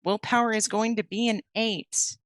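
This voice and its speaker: A woman narrating as storyteller for a tabletop werewolf game, setting scenes slowly and deliberately. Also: medium pitch, fairly even intonation, no echo, studio quality.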